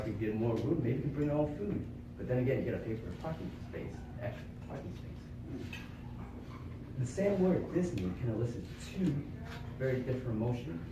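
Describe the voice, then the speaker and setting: A man's voice: medium pitch, background noise. A young man, from Vietnam and raised in Florida, giving a prepared club speech in an earnest, explanatory way with rhetorical questions. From born in Vietnam, raised in Florida. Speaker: club speaker. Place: meeting room with small audience. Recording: echoey room from far off.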